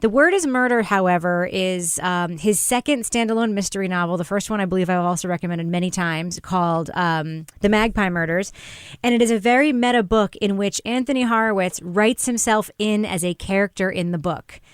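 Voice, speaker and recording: A woman's voice: medium pitch, fairly quick, smooth, dry recording, studio quality. A woman hosting a casual panel chat, talking in an emphatic, effusive way. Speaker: podcast host.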